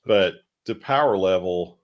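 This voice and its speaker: A man's voice: low-pitched, a little slow. A man co-hosting a tech panel show, talking through technical points casually in a rambling, hedging way.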